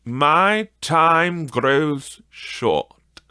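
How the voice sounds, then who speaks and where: A man's voice: on the high side, lively intonation, drawn-out words, pushed and bright, clean tone, dry recording, studio quality, voice pushed up, full character voice. A man voicing a solemn, insistent ghostly spirit in an audiobook of a Victorian tale. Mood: urgent.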